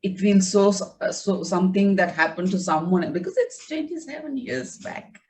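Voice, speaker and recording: A woman's voice: mid-range voice, sing-song, normal speed, a little mellow, distant mic, a little hiss or hum. A woman whose first language is Tamil, a writer being interviewed, talking reflectively with many fillers and restarts over a video call.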